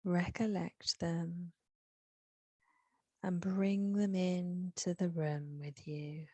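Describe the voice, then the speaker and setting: A woman with an English accent leading a guided meditation, soothing and unhurried, with long pauses. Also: lowish voice, flat delivery, dark tone, dry recording.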